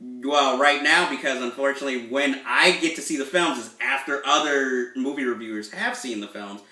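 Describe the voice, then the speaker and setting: A man's voice: medium pitch, moderate pace, pushed and bright, roomy sound, far from the mic, poor audio. A man hosting a casual film review show, talking in a rambling, self-deprecating way. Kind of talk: casual chat.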